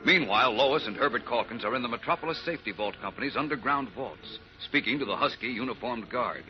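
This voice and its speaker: Male narrator for vintage adventure serial: dramatic, mid-pitched, music behind, muffled recording. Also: expressive, quick pace. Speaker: narrator and announcer. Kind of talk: dramatic scripted 1940s radio narration. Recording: muffled old radio recording.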